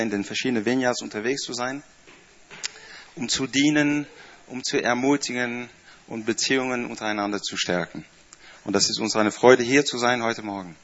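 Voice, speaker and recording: A man's voice: mid-range voice, fairly bright tone, dull audio. Dutch-French accented man in his fifties. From Netherlands. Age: 50s. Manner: chatty, hesitant with many fillers, small grammar slips. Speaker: church staff member giving a greeting. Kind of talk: informal speech to a congregation.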